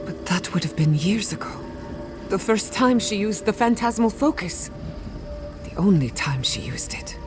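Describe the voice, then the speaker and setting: A woman acts out a headstrong fantasy game heroine with a mid-pitched voice. She is intense and shaken, blunt in the way she searches for answers. Music runs behind her. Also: animated, normal speed, close to an everyday voice. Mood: stunned. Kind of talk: video game character acting.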